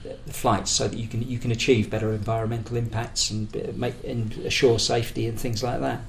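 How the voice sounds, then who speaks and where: The recording is echoey, with faint noise behind the voice. A British man speaks at a medium pitch as a union speaker making a political argument. His delivery is discursive and hesitant, often feeling for the right word. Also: level tone, a little fast, gravelly. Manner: hesitant, searching for words, discursive. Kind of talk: conference talk, semi-prepared political argument.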